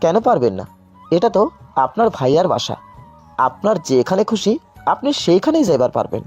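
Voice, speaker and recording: A man's voice: sits high, sing-song, normal speed, darkish tone. A man playing a curt, aloof young man in an audio story, speaking blunt Bengali dialect with an Old Dhaka accent. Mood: curt, annoyed. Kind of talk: audio story drama acting. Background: background music.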